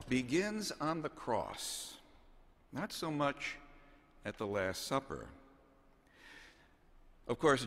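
Slow, solemn male bishop in echoing cathedral. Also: mid-range voice, fairly bright tone. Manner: measured, solemn, deliberate phrasing with pauses. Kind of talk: homily, read from notes. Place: large cathedral, at the pulpit. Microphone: gooseneck pulpit microphone. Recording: long cathedral reverb.

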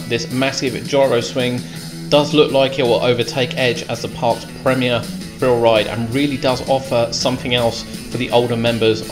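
British man giving tour commentary over guitar music. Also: mid-range voice. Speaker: theme park vlogger.

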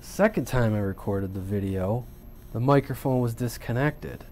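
A man's voice: mid-range voice, moderate pace, a little mellow, a little hiss or hum. Folksy middle-aged man at a radio bench. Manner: folksy, rambling, off the cuff. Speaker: CB radio channel host. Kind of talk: casual hands-on tutorial. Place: home radio workbench, indoors. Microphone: desk mic off camera.

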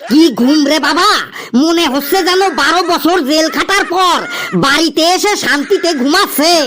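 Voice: very high-pitched, moderate pace, sharp tone, slight background noise. A performer voicing an exasperated cartoon father who nags and exclaims at his lazy son. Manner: exclaiming, nagging. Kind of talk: Bengali comedy cartoon dialogue.

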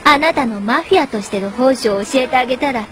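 Fancy scheming rich girl, high voice, polite but mocking, japanese audio drama. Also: brisk, a bit bright, a little hiss or hum. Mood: mocking, menacing. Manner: haughty, refined polite speech, mocking. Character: elegant, scheming young lady, falsely sweet and vengeful.

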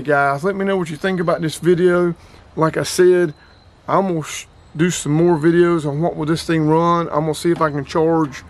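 Middle-aged man, relaxed gear-review talk, slightly raised pitch, close camera mic in a small room. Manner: plainspoken, rambling, repeats numbers. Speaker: outdoors and radio hobby vlogger. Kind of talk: casual gear demo and review. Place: small indoor room, gear shelves behind.

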